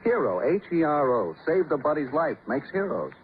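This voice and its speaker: An actor playing a sardonic, needling war veteran in an old-time radio crime drama, speaking in short, taunting, punchy phrases. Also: male voice, fairly high pitch, sing-song, moderate pace. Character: sardonic male war veteran, needling, slyly menacing tough. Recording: tinny, faintly noisy vintage radio recording.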